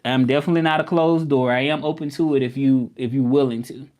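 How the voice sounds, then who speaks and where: A man's voice: mid-range voice, steady tone, fast talker, a little mellow. A man hosting a casual live stream, chatting loosely with viewers and often repeating himself. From Memphis, United States. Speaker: livestream host.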